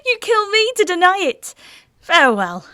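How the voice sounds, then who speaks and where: Young gentlewoman, high pitch, dry sound, Shakespearean audio drama, sharp-witted, proud, emotionally raw, halting. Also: big pitch swings, no room sound, full character voice. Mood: wounded fury. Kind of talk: Shakespearean audio play acting.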